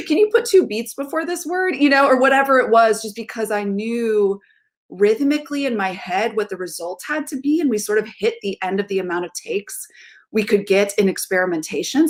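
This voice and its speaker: A woman in her thirties with a medium-pitched voice answers interview questions from a room at home. She talks casually but thoughtfully, leaning on key words as a theater director explaining her process, heard over a video call.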